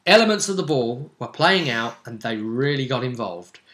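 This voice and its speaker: A man hosting as game master, casually recapping a role-play session in an animated, jokey, theatrical way. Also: mid-range voice, moderate pace.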